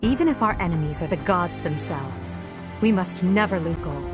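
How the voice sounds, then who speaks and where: An actress plays a young noblewoman born to rule in a video game. She speaks in measured, earnest, formal phrases with calm resolve. Music plays clearly behind her. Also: lowish voice, close to an everyday voice. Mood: grave resolve. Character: composed, resolute young noblewoman, a future ruler. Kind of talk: scripted video game voice acting. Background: game soundtrack music.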